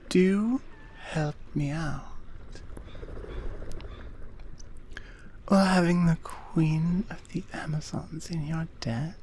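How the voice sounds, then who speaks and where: A woman playing a regal, centuries-old Amazon queen in an ASMR roleplay, speaking softly and formally with deliberate pauses. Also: on the low side, slight background noise. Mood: coaxing, intimate. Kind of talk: ASMR roleplay audio drama, addressed to the listener.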